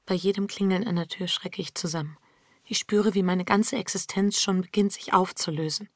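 A woman's voice: fairly low pitch, fairly even intonation, moderate pace, dry recording, clean studio sound. An actress playing an anxious, unfaithful wife who confides her inner life intimately and intensely in a literary radio play. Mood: anxious, hushed. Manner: intimate, intense first-person narration. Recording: music behind the voice. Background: music.

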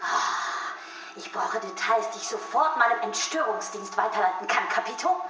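A woman acts a scheming villainess from a children's radio play, in a middle-pitched voice with strong room echo. She gushes smugly and gives orders, peppered with affected English. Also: lively intonation, moderate pace, noisy background, natural voice. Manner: affected English catchwords, smug, commanding. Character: gushing, scheming female villain wooing an informant. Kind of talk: German children's radio drama. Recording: echoing room, music behind.

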